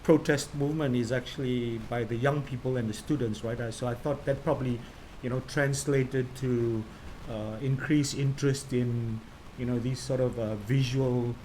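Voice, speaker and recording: A man's voice: medium pitch, steady tone, normal speed, mellow, a little hiss or hum, rough recording. A man hosting a live online interview, asking long, rambling questions full of "you know". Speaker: host of a live interview series.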